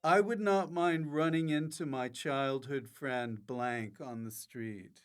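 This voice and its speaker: A man's voice: medium pitch, slow pace, clear, resonant tone. A man hosting a podcast in casual comic chat, with a wry, bantering way of talking. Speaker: podcast host.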